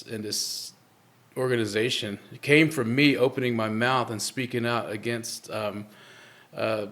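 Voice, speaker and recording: A man guest in a live interview, speaking earnestly in halting phrases full of fillers and restarts. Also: medium pitch, a bit bright.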